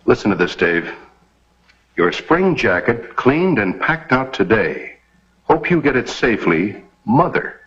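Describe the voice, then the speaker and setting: A man's voice: mid-range voice, very animated, a little slow, fairly bright tone, slightly rough, heavily acted. An American actor playing a resolute, friendly lawman in an old TV western. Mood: warm, pleased. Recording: dull vintage TV western soundtrack.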